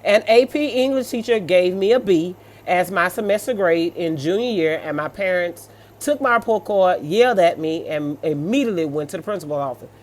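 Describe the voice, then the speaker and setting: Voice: mid-range voice, lively intonation, normal speed. An American speaker with a sassy, blunt, colloquial manner, reading stories aloud and reacting with off-the-cuff commentary.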